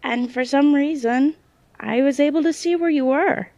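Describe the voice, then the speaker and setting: A woman playing a hesitant, self-doubting young psychic in a naturalistic audio drama, speaking in halting phrases. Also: high voice, warm tone, no echo, muffled recording. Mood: unsure, explaining.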